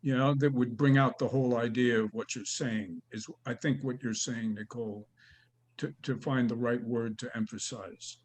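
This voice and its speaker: A man's voice: medium pitch, steady tone, moderate pace, rough recording. A man teaching an acting class, praising students and giving notes, often restarting his sentences. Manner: warm praise, stammering restarts.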